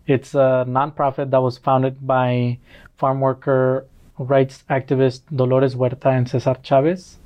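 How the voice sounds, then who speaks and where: A man in his twenties, originally from Mexico, speaking as a guest on a casual podcast. His voice sits at a middling pitch, and he talks in an easygoing, thoughtful way with a bit of dry humor. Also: lo-fi sound. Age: 20s. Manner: relaxed, joking, thoughtful. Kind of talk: casual interview conversation.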